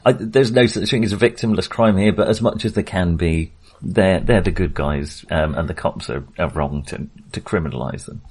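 A man with a fairly low voice chats casually as a film podcast co-host. He rambles in halting phrases and often reworks his sentences midway. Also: a little mellow, slightly rough.

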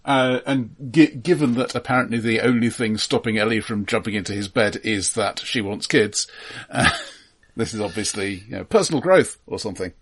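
A man co-hosting an analytical but casual discussion, haltingly repeating words as he thinks. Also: on the low side, fairly bright tone, slightly rough. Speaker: film podcast co-host.

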